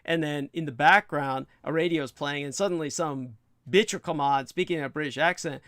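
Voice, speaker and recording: A man hosting a livestream, giving a rambling, scornful opinion monologue in a creaky voice with many drawn-out hesitations and coarse language. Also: on the high side, fairly bright tone, no echo, clean studio sound. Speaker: livestream host.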